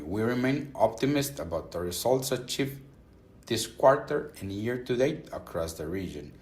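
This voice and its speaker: A man reading a scripted earnings call presentation as a company executive, in a formal, measured corporate manner. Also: mid-range voice.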